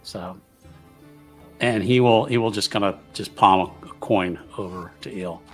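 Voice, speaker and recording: A man's voice: on the low side, a little slow, husky. A man playing a tabletop roleplaying game, talking casually and moving in and out of character. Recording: music behind the voice.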